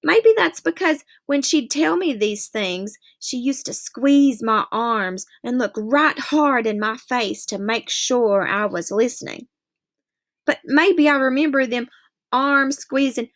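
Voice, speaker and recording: A woman reading a novel aloud in a steady first-person storytelling voice. Her voice sits fairly high. She keeps the narration even and clear. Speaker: reader.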